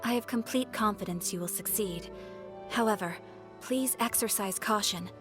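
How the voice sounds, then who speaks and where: A woman voicing a polite, composed robot receptionist in a video game, speaking in a formal, measured way. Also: medium pitch, music in the background, close to an everyday voice. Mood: calm. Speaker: guild receptionist.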